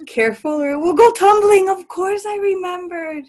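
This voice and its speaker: An actress playing a warm, cheerful, doting household servant in a dramatic play reading, fond and exclamatory. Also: female voice, very high-pitched, moderate pace. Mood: affectionate.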